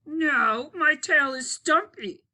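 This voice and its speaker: A woman's voice: sits high, takes it slowly, voice pushed up, full character voice. A woman voicing a big, scruffy, slobbery boy monster in a storybook, boastful and whiny. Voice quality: slurping. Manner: forceful, whiny. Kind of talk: picture-book read-aloud.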